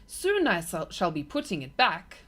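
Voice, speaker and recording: A woman voicing a huge kindly old male giant who mangles his grammar, speaking louder than her narration. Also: on the low side, huge pitch swings, normal speed, exaggerated voice. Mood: gentle. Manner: gentle, mangled grammar, loud.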